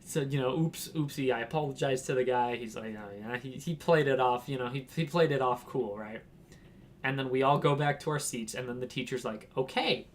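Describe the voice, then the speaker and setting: A man's voice: mid-range voice, fairly quick, slight background noise. A young man from Washington telling a casual, rambling story, laughing and acting out quotes. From Washington, United States. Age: young adult. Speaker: vlogger.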